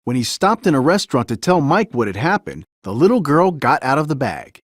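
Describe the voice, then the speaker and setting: Animated male children's storybook narrator. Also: mid-range voice, a little fast, no echo, clean studio sound. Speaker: storybook reader. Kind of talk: children's story read-aloud, scripted narration.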